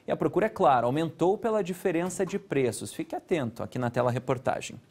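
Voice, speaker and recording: A man, presenting the local news from a TV studio in a steady, conversational way. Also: on the high side, quick pace, slight background noise. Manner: steady, conversational anchor delivery. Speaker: news anchor.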